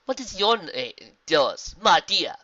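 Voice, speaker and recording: A woman voicing a wise, calm zebra sorceress who speaks in deliberate, formal rhymed phrases. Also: fairly low pitch, dull audio, slight background noise, voice pushed up, full character voice. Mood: reassuring.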